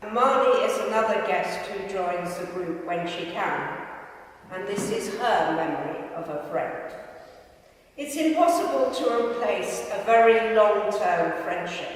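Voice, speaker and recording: A woman reading written memoir pieces aloud at a live event, in a measured, deliberate way. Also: medium pitch, expressive. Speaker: reader at a live spoken-word event. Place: large echoing gym hall. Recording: very echoey hall, distant microphone.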